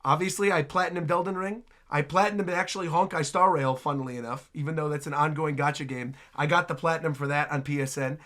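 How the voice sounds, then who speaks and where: A man hosting a casual, enthusiastic game reaction, excitable and prone to repeating phrases. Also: a little high, fast talker, hardly any pauses. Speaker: reaction video host. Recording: music playing behind the voice.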